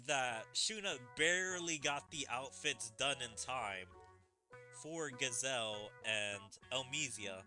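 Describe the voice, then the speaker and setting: Male gaming host, very bright forceful voice, lively pitch, game music underneath. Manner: animated, talks through story. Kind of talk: game playthrough commentary, reading dialogue aloud.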